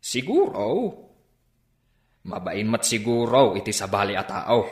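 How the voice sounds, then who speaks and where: A man plays a reassuring uncle who reasons with his family in a radio drama. His voice is fairly low, recorded in a roomy space. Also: measured pace, natural voice. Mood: reasonable, reassuring.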